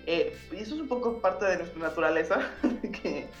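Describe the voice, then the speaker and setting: A Mexican woman editor, a guest in an interview, relaxed and self-deprecating, with a Mexican Spanish accent. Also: fairly low pitch, normal speed, roomy sound, highs cut off, soft background music. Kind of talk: casual interview.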